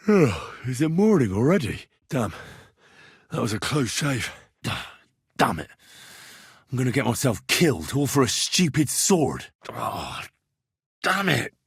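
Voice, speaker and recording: Young medieval adventurer, husky and expressive. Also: male voice, medium pitch, slow, long pauses, dry recording, clean studio sound, exaggerated voice. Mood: frustrated, cursing. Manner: muttering thoughts to himself, bursts into shouts. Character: young medieval adventurer, earnest, wry, quick to curse. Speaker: video game protagonist. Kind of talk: acted video game voice lines.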